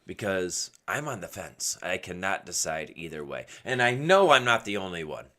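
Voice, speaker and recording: A man hosting a casual, opinionated solo podcast in an emphatic way, asking himself rhetorical questions, his voice strained and partly lost from yelling. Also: low-pitched, sing-song, moderate pace. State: losing his voice. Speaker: podcast host.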